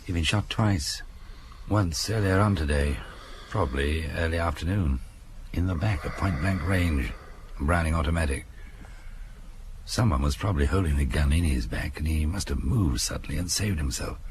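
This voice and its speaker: An actor playing a dutiful police officer in a radio drama, reporting in a brisk, clipped, matter-of-fact way. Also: male voice, extremely low pitch, a little hiss or hum. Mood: businesslike.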